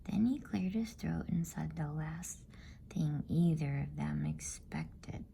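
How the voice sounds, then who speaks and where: A woman reading a bedtime story in ASMR style, in a soft, breathy, soothing whisper. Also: low voice, slight background noise. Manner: slow, soothing, hushed.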